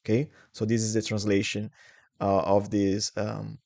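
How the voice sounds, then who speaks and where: A young man with a Brazilian Portuguese accent teaching English travel phrases slowly and clearly, repeating each model sentence. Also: lowish voice, completely flat delivery, a little mellow. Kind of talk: English lesson.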